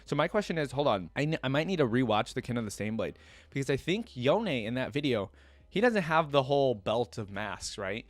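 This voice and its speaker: A man's voice: mid-range voice, very animated, a little fast, no room sound. A man hosting a reaction video, reading a fantasy story aloud and breaking off for casual comments.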